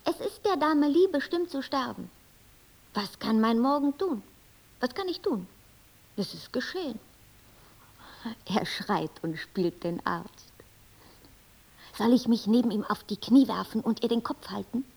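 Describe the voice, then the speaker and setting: A fatalistic lady in a radio play. Her voice is fairly high, husky and creaky, and she speaks solemnly. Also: animated, a little slow, long pauses, a little mellow, exaggerated voice, low-quality recording. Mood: solemn, brooding. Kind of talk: German radio play acting.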